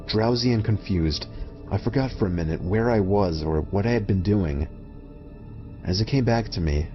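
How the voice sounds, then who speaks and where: A man reading a scripted first-person horror story as its narrator. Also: on the low side. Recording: muffled lo-fi audio under music. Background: prominent background music.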